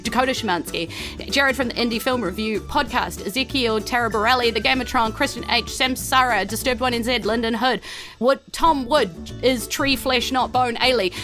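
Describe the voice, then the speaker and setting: A woman's voice: a little high, moderate pace, a bit bright. A woman with a New Zealand accent hosting a podcast in a chatty, giggly way, as its dungeon master. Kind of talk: casual podcast outro chat. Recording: music behind the voice.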